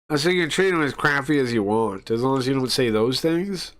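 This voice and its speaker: A man with a medium-pitched voice talks as a streamer. He gives casual, spontaneous commentary, as if reacting live to a video debate. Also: fairly bright tone.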